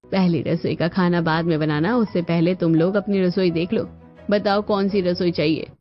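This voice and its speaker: A woman voicing a calm, practical mother-in-law in a cartoon family story. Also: on the low side, normal speed, natural voice. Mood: firm. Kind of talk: Hindi cartoon story voice acting. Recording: dull lo-fi audio over music.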